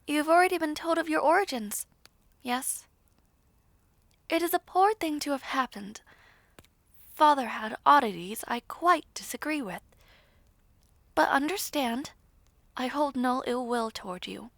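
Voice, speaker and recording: A woman voicing a composed, proud young princess in a fantasy audio roleplay, candid, stately and deliberate in her formal speech. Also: sits high, dry recording.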